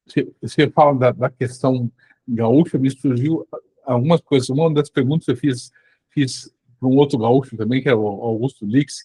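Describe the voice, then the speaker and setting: A man's voice: medium pitch, dark tone, husky, no room sound, rough recording. A man with a Brazilian accent hosting a casual interview, circling into his questions with repeated starts. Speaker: interviewer, host.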